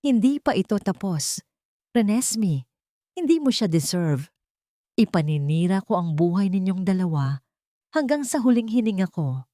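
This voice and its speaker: A woman's voice: mid-range voice, big pitch swings, moderate pace, long pauses, warm tone, no echo, studio quality, close to an everyday voice. Woman voicing a vengeful jealous male villain. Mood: bitter threatening. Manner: threatening, dramatic.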